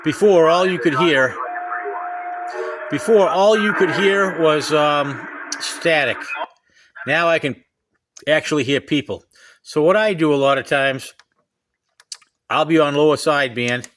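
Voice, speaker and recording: A man's voice: a little high, measured pace, long pauses, background noise. An older man chatting casually as he shows off radio gear, rambling in a folksy way and repeating himself. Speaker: CB radio hobbyist host. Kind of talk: casual chat and gear demonstration.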